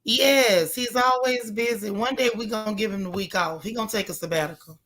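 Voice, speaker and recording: A woman hosting a casual live recap chat, with a loud, gravelly, hoarse voice, greeting viewers by name with folksy slang. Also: medium pitch, brisk, crowd noise. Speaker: livestream host.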